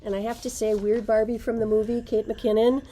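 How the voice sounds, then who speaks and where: A woman's voice: a little high, little pitch movement, a little fast, warm tone. A middle-aged woman, a librarian, recommending books and reading their blurbs aloud to a camera in a library. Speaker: librarian presenting book picks.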